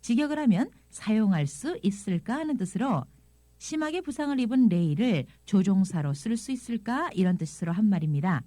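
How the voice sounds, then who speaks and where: Woman lesson presenter, animated intonation, mellow tone, dry studio sound, explanatory. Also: medium pitch, brisk, no echo. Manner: explanatory, expressive reading. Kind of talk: scripted audio magazine lesson.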